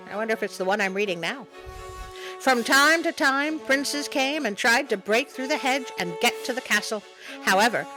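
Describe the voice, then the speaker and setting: A woman's voice: a little high, rough recording. A woman voicing a small furry monster who reads storybook tales expressively, with sardonic, easily sidetracked comic asides. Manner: expressive, wry, interrupts the reading with mock indignation. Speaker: storyteller and host. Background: music behind the voice.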